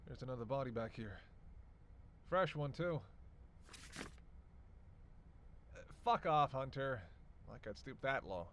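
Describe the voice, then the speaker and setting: An actor playing a reluctant, sardonic vampire in an audio roleplay, sarcastic and grumbling, swearing freely. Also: male voice, mid-range voice, animated, normal speed, fairly bright tone, slight background noise, natural voice. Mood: muttering, cynical. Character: sardonic, reluctant male vampire, hungry, cursing, teasing. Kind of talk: solo audio roleplay addressed to the listener.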